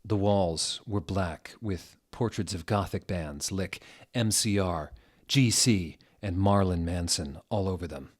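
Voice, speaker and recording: Slow, low-voiced man doing a dramatic reading. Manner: deliberate, dramatic delivery that mocks the misspellings. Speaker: narrator. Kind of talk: theatrical dramatic reading of fan fiction.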